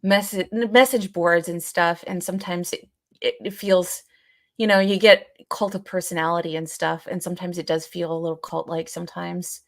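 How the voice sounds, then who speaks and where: A woman with a slightly low voice, recorded close and dry, co-hosts a casual discussion podcast. She speaks haltingly, hedging and searching for her words. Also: steady tone, dry recording. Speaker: podcast co-host.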